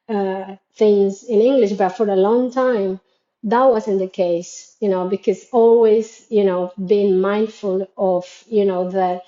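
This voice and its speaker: Mellow-voiced woman, earnest reflection. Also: mid-range voice, roomy sound, lo-fi sound. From Latin America. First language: Spanish. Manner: thoughtful and earnest, posing questions to listeners. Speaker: educator and creator of a school framework. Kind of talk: reflective spoken monologue.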